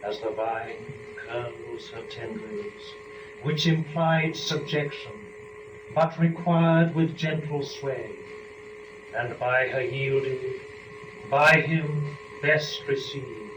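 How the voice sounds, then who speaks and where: A man reciting epic verse formally, with measured and deliberate phrasing. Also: medium pitch. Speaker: performer reading poetry. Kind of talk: dramatic poetry recitation. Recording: echoey, dull, noisy live room recording.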